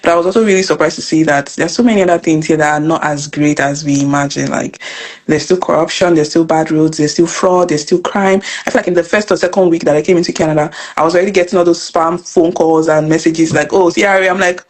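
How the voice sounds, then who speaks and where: A young woman from Nigeria with a Nigerian accent, telling her story as an interview guest in an earnest, animated way. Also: deep voice. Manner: fast, earnest, animated storytelling with repeated phrases. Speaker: guest, financial educator and business coach. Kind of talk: casual interview conversation.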